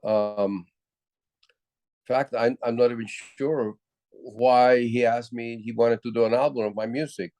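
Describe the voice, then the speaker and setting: Low-voiced older man from Puerto Rico. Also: fairly even intonation, warm tone, no echo. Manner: halting, many restarts and fillers. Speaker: guest musician. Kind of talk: casual interview storytelling.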